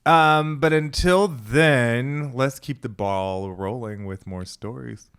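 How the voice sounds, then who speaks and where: Slow, laid-back podcast host man. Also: mid-range voice, fairly bright tone, clear, resonant tone. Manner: laid-back, hesitant with many ums. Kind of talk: casual podcast chat.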